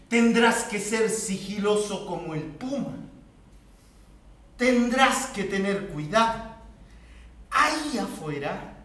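Guy doing solemn mysterious guide, theatre style, super slow n portentous, far from mic. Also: high voice, a bit bright, full character voice. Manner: portentous, measured. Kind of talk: theatrical monologue. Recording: very echoey room, distant microphone.

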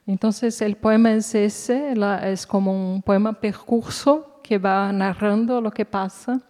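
Slow-speaking woman in an echoey hall. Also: medium pitch, steady tone, a little mellow. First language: Portuguese. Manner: halting, searching for words. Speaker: guest author, poet. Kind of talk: unscripted talk at a literary event.

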